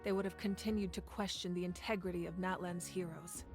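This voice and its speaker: An actress voicing a proud, protective female ruler in a video game, speaking calmly, steadily and with resolve. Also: lowish voice, a little fast, over music, close to an everyday voice. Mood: resolute calm.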